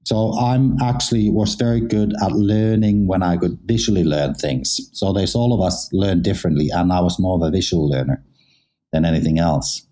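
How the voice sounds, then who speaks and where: Deep, mellow middle-aged man. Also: moderate pace. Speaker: interviewed athlete, former figure skater. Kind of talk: casual interview storytelling.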